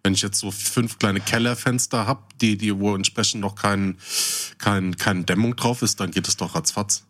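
Slightly deep-voiced man, questioning and argumentative. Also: fairly even intonation, moderate pace, few pauses, fairly bright tone. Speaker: podcast co-host. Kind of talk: casual chat, questioning.

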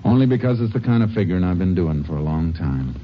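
A steady, shrewd Texas Ranger. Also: male voice, sits low, fast talker. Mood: calm, probing. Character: calm shrewd male Texas Ranger investigator. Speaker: lead actor. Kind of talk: 1950s radio drama acting. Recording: dull old radio recording with faint hiss.

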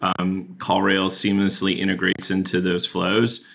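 Low, gravelly male interview guest. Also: completely flat delivery, a little slow, fairly bright tone. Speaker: company product executive, podcast guest. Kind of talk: conversational explanation in an interview.

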